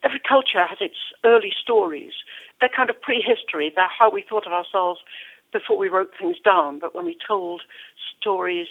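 A woman's voice: mid-range voice, normal speed. Give me a passionate woman poet, laughing often and restarting her words, heard over a narrow phone-like line. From Wales. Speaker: national poet, interview guest. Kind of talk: radio interview.

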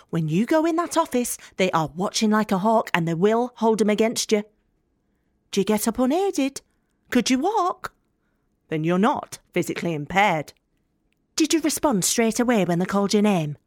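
A blunt young working-class woman with a Northern English accent , played by an actress, tells her story in an audio play. She is deadpan and sardonic, confiding in a mid-pitched voice, recorded close and very dry. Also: no echo, natural voice. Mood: bitter, instructive. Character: blunt, wry young working-class female debt collector with ailing mother. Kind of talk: dramatic monologue in an audio play.

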